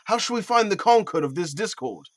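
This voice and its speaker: A man playing a stately, sceptical Athenian duke in a Shakespearean audio play, speaking in a measured, regal, declamatory way. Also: sits high, lively intonation, fairly bright tone, dry recording. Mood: dismissive, amused.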